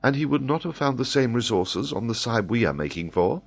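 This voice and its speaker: A man voicing a kindly noblewoman who speaks softly and comfortingly. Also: medium pitch, expressive, fairly quick, gravelly, husky, voice pushed up. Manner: soft, comforting.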